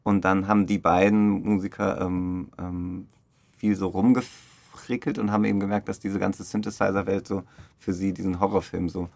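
A low-voiced man speaks casually and reflectively in an interview. His voice has a lot of fry, and his phrasing is loose and informal. The sound is dry, with hardly any room in it. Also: level tone, moderate pace, a little mellow, no echo. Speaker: radio play director interviewed.

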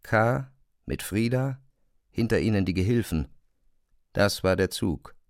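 A man giving a dramatic literary narration for an audio drama, expressive and precise in his diction. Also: fairly low pitch, unhurried, no echo, studio quality.

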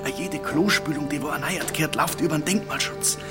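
A man's voice: fairly high pitch, fast, natural voice. A man playing a wily, fussing village mayor with a folksy, emphatic Bavarian dialect in a drama. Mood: alarmed warning. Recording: music behind the voice.

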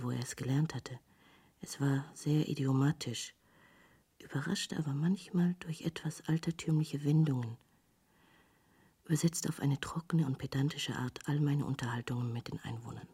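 A woman acting in a literary radio drama as a disillusioned, reflective traveller, speaking in a measured, dry way with a husky, breathy voice. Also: low-pitched, dark tone. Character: disillusioned female visitor to hell, wistfully reflective narrator.

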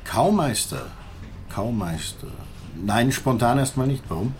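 An actor playing a probing, sceptical police inspector in a radio drama, blunt and questioning. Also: male voice, mid-range voice, animated, measured pace, slight background noise, natural voice.

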